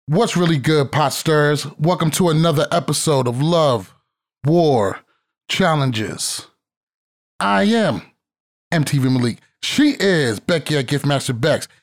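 A man's voice: a little high, expressive, takes it slowly, long pauses. A man in his thirties hosting a podcast, chatting casually and brashly, swearing freely. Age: 30s. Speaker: podcast host.

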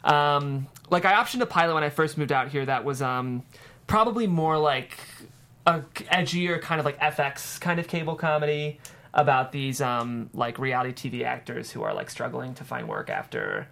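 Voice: mid-range voice, animated, normal speed, vocal fry, a bit bright. A screenwriter guest chatting casually on a panel, hedging and peppering sentences with "like".

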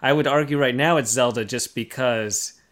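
A man's voice: mid-range voice, normal speed, fairly bright tone. A man hosting a podcast in a casual panel discussion, talking in an explanatory way and posing rhetorical questions. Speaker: podcast host.

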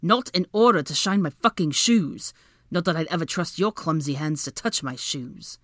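A woman's voice: deep voice, lively intonation, moderate pace, fairly bright tone, no echo, clean studio sound. A woman voicing a haughty, irritable young wizard man in an audiobook, snapping and grumbling with a sneer. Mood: sneering, seething. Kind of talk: dramatic audiobook character dialogue.

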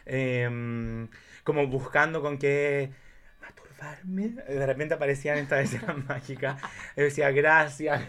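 A man's voice: medium pitch, steady tone, measured pace, a bit bright. A man chatting candidly as a podcast guest, in a playful, rambling way. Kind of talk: casual, candid chat about films.

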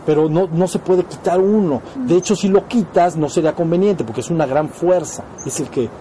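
Mellow, higher-voiced Mexican man teaching. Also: a little fast, a little hiss or hum. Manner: explanatory, often checks understanding with short questions. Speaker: spiritual teacher. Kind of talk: informal spiritual lecture to students.

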